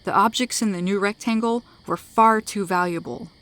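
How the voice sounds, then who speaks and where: A woman's voice: medium pitch, moderate pace, fairly bright tone, dry recording. A woman narrating scripted sci-fi fiction in a dramatic storytelling style, close to the microphone.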